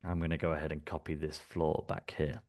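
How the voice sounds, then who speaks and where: A man from the UK with a British accent, presenting a live software workshop in a relaxed, chatty way, with many fillers and restarts. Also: rumbling low, fairly quick. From United Kingdom.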